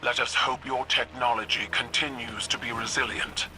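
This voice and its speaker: An actor playing a proud, stern military commander in a game cutscene, speaking formally. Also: male voice, medium pitch, steady tone, normal speed, bright, forceful tone, a little hiss or hum. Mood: stern briefing. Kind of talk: video game cutscene acting.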